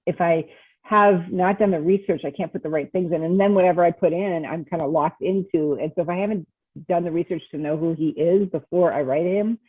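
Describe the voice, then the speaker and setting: A woman's voice: on the low side, a little fast, breathy edge, no room sound. A woman author chatting casually as a podcast interview guest, explaining things and acting out her thoughts as quotes. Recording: tinny phone-like line, muffled words.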